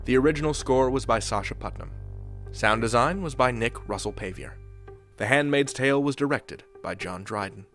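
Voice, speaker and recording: A man's voice: mid-range voice, normal speed, a bit bright, faint music behind. A man hosting a podcast, reading scripted announcements and credits. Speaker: podcast host.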